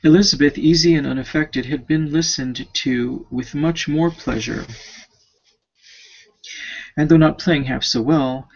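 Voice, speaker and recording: Male amateur reader, slightly high-pitched and creaky, halting audiobook delivery, dull lo-fi sound. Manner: halting, stumbles and rereads words. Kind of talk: amateur audiobook reading of a classic novel. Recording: dull lo-fi home recording.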